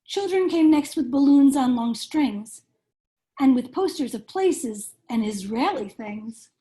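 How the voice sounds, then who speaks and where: A woman reading a children's storybook aloud in a measured, expressive storytelling manner. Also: high-pitched. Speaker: cantor reading a bedtime story.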